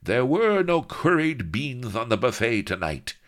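Man putting on a croaking, suspicious storybook villain. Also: medium pitch, very animated, a little slow, a bit bright, pitched above the performer's own voice. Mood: suspicious brooding. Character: croaking, ugly, suspicious male Spanish villain, ponderous and menacing.

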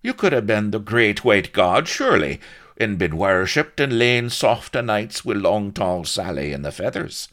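A man's voice: on the low side, very animated, measured pace, fairly bright tone, voice pushed up. A man voicing a hard, suspicious roguish colonel in a blunt, sardonic, interrogating manner. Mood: needling, sardonic.

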